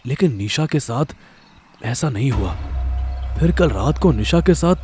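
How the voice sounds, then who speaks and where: A man's voice: mid-range voice, expressive, unhurried, darkish tone, slight background noise, natural voice. A man playing a stern, guarded but secretly caring young man in an audio drama, speaking in a restrained, matter-of-fact way. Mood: pondering. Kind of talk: Hindi audio horror drama acting.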